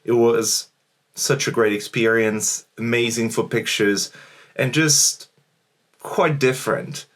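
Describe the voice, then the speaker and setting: A young man telling about his travels in a relaxed, chatty way, with lots of small fillers. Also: mid-range voice, slow, no echo. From Morocco. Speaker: travel vlogger. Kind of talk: casual travel vlog narration.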